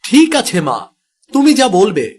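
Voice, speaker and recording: A man playing an obedient young village husband in a cartoon, with a comforting, soft-hearted manner. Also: sits high, huge pitch swings, a little slow, breathy edge, heavily acted. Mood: dutiful. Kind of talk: animated moral story acting.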